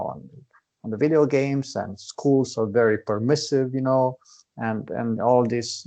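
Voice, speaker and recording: A man's voice: mid-range voice, a little mellow. Man explaining earnestly. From Transylvania, Romania. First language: Hungarian. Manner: earnest, explaining at length with repeated "right?". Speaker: karate instructor and guest. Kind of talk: casual conversation.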